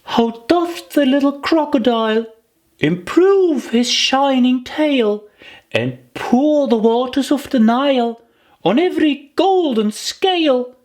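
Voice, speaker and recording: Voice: high voice, lively intonation, slow, a little mellow, pitched above the performer's own voice. A storybook narrator voicing a forlorn, earnest little girl, plaintive and self-pitying in a children's tale. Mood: earnest reciting.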